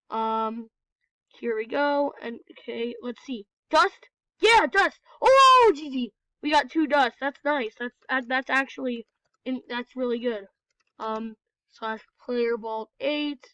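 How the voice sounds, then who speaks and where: A woman's voice: fairly high pitch, a bit bright, no room sound. A woman with a cold, nasal and congested, rambling casually as she comments on her own gameplay for a video. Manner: chatty, rambling, apologetic. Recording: dull, lo-fi, dry recording.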